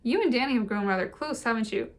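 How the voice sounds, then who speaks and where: A woman's voice: fairly high pitch, animated, fast, lightly acted. A woman voicing a sensible young woman who teases her friend in a wry, dry way. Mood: teasing. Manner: wry, teasing.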